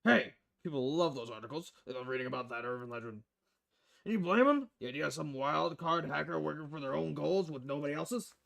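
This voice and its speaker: Guy doing a crass money-loving editor man from a visual novel, loud mouthed, croaky, kinda high for a man. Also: expressive, a little fast. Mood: defensive, boastful. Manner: brash, foul-mouthed, blustering.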